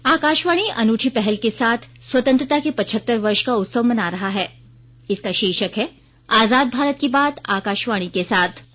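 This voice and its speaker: A woman's voice: fairly high pitch, normal speed, a bit bright. A woman with an Indian accent reading a formal, scripted news bulletin as a radio newsreader. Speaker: news reader. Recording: dull, band-limited radio broadcast sound.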